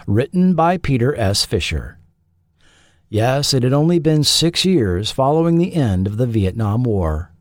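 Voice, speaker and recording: Dry studio man narrating, slow and expressive. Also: mid-range voice, no echo. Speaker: podcast host and narrator. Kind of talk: scripted narration of TV history.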